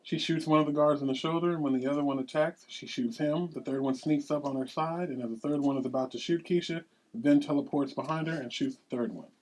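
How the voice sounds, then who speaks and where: A man's voice: a little high, fast, darkish tone, low-quality recording. A man narrating at a table read, reading scene headings and stage directions aloud.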